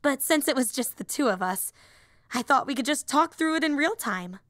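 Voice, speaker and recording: A woman's voice: high voice, lively intonation, moderate pace, a bit bright, dry recording, studio quality. A woman voicing a young, nerdy female hero fan and teacher in an audio roleplay, eager, rambling and easily flustered. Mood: earnest, rambling.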